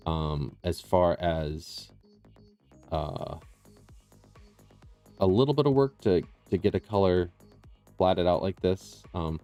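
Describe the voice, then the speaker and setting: A man's voice: sits low, warm tone. A man hosting a live painting stream, chatting casually with viewers and explaining his work, often trailing off and restarting sentences. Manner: rambling, self-interrupting, explains as he works. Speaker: livestream host and digital painter. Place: small room with tile floors. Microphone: close condenser mic with processing.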